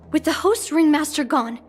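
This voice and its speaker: A woman voicing an earnest, outspoken young granddaughter of a general in a video game. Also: high voice. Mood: exasperated. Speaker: voice actor. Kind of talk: scripted video game acting.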